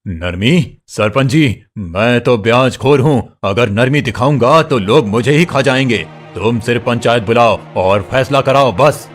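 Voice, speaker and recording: Cartoon moneylender, gruff and greedy, voiced by a man, mid pitch, light music under. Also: measured pace, hardly any pauses, lightly acted. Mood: insistent. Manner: gruff, threatening, mocking. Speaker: voice actor. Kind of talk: cartoon story voice acting.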